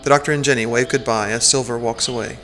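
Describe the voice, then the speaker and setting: Man, medium pitch, scripted drama narration, prominent music bed. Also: fairly even intonation, fast talker, fairly bright tone. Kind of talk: scripted present-tense story narration.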